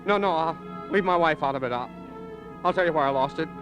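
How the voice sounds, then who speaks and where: Actor as high-voiced, nervous, stammering male suspect. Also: fast, close to an everyday voice. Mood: flustered. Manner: hesitant, stammering, defensive. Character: nervous, evasive male murder suspect, married out-of-towner. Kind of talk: 1940s-50s radio crime drama acting. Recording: old radio drama recording with music.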